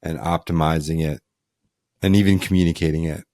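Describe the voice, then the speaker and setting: A low-voiced man hosts a podcast and asks loose, rambling questions. He chimes in with soft murmurs while his guest talks. The sound is dry and close. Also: fairly even intonation, a little fast, dry recording. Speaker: podcast host. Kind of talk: casual interview talk.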